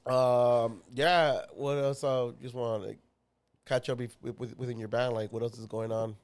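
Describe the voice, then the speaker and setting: A man hosting a relaxed podcast conversation in an easygoing, agreeable way. He chimes in with quick agreement and laughs often, his voice sitting at an ordinary middle pitch. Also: no room sound. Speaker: podcast host. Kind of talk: casual chat.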